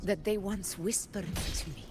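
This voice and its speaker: An actress playing a proud, haunted warrior ruler in a video game, speaking with solemn, weighty resolve. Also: female voice, medium pitch, lightly acted. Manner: slow, weighty, confessional delivery. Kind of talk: dramatic video game voice acting. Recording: music behind the voice.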